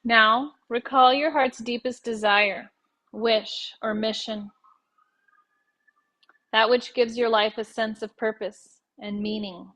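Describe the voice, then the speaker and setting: A woman leading a guided yoga nidra meditation in a gentle, soothing, measured way, leaving long pauses between short phrases. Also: a little high, steady tone.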